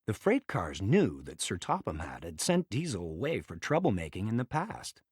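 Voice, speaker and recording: A man reading a children's read-along story as a scripted, dramatic and expressive narration. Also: fairly low pitch, normal speed, slightly rough, no room sound, studio quality.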